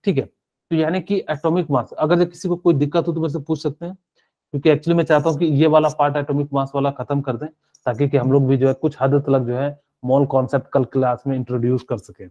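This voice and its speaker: A man's voice: on the high side, fairly even intonation, fairly quick, mellow, highs cut off. A male chemistry teacher giving a live online class, explaining step by step with many fillers and asking students questions.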